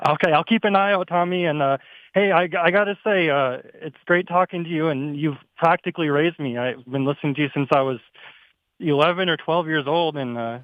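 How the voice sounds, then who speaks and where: A man's voice: on the high side, brisk, dry recording. A man in his thirties from Minneapolis calling a radio show, friendly and jokey with many fillers. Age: 30s. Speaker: caller. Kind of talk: phone call to a radio show. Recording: tinny phone line.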